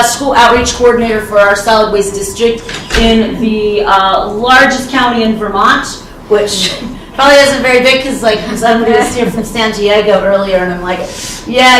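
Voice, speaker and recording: Loud woman speaking unmiked in echoey room. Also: a little high, slight background noise. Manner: lively, chatty, self-deprecating. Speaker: school outreach coordinator, panelist. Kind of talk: conference panel talk. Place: conference room. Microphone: no microphone. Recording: echoey room, distant from mic.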